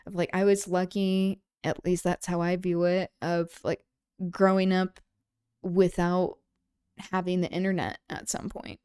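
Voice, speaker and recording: A woman hosting a livestream, thinking aloud in a casual, discursive and halting way. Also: lowish voice, little pitch movement, dark tone, clean tone, no echo, studio quality. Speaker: livestream host. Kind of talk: casual livestream chat, reflective commentary.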